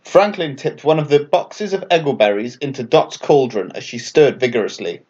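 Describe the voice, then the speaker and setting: Animated man reading a children's story. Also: medium pitch, moderate pace, husky, highs cut off. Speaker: author and storyteller. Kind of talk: scripted children's bedtime story narration.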